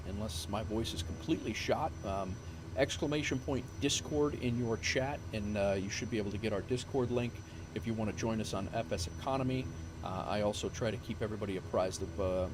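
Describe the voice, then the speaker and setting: A man winding down a live stream, talking in a low-pitched voice that rambles through ums and restarts. His voice is wearing thin from a long session. A clear hum of background noise runs under his desk mic. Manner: rambling, full of fillers and restarts. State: losing his voice. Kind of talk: casual live-stream sign-off chat.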